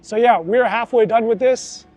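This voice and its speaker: Chatty middle-aged man with a high voice. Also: moderate pace, soft background music. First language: English. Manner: chatty, animated, acts out quoted voices. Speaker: vlogger, student of Thai. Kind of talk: casual vlog talk to camera. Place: outdoors near a festival.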